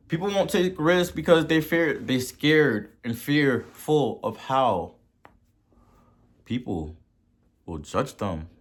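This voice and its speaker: A man with a Haitian accent hosting a podcast and giving a motivational talk, preachy, repeating words for emphasis and swelling to loud peaks. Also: mid-range voice, slightly rough. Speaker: podcast host.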